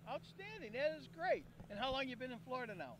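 A chatty man hosts a live stream outdoors on a handheld mic, his voice worn down by a cold. He sits very high in pitch. Faint event noise runs behind him. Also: very animated, fast. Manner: chatty and upbeat, coaxing guests. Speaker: host. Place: outdoor park event beside a van.

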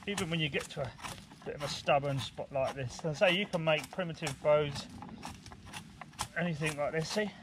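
Higher-pitched man giving casual outdoor demonstration. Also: moderate pace. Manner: informal, talking while working with hands. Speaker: bushcraft instructor. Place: outdoors in woodland. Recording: clear outdoor background noise, woodland ambience.

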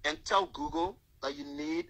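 A man's voice: on the high side, steady tone, normal speed, a bit bright. Liberian man on a car video call. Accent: Liberian English. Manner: repeats words, restarts. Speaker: guest on a live video call. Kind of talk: casual live-stream chat. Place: inside a parked car. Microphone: phone with earphones, video call.

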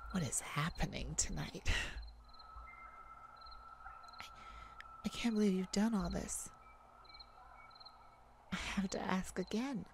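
A woman voicing a tender, wounded, lovesick vampire in an intimate ASMR roleplay, speaking haltingly and with emotion. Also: medium pitch, vocal fry, slight background noise. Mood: moved, incredulous. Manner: hesitant, emotional, halting with sighs and pauses.

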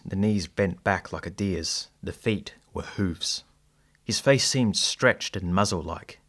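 A man playing a polite, earnest diarist, narrating an audio drama in formal storybook phrasing. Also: sits low. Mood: alarmed. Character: male diarist, polite, earnest, easily startled friend. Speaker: narrator and character.

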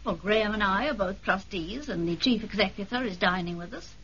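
An actress playing a gracious, assured titled wife, polite and poised, in a 1970s radio drama. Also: female voice, mid-range voice, brisk, fairly bright tone, close to an everyday voice. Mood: brisk, hospitable. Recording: muffled, noisy 1970s radio recording.

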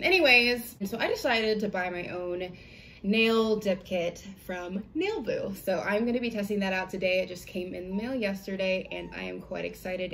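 A young American woman talks casually to camera like she is chatting with friends. Her voice sits at an average female pitch, with informal, slangy phrasing. She is recorded at home on a camera microphone, with a slight noise behind her. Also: a bit bright. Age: young adult. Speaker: product reviewer vlogger. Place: small home room, indoors.

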